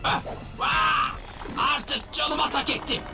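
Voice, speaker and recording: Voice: high-pitched, fairly even intonation, slow pace. An actor voicing a cocky, boastful boy hero who turns into powerful aliens in a dubbed cartoon. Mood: exasperated. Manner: brash, boastful, exclamatory. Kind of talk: animated cartoon dub acting. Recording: loud cartoon music behind.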